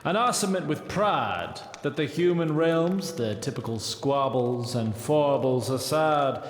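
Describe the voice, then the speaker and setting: An actor playing a haughty, centuries-old elf councillor in a fantasy audio drama, speaking in formal, stately oratory. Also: male voice, mid-range voice, lively intonation, measured pace, close to an everyday voice. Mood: proud, disapproving. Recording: echoey great stone hall reverb.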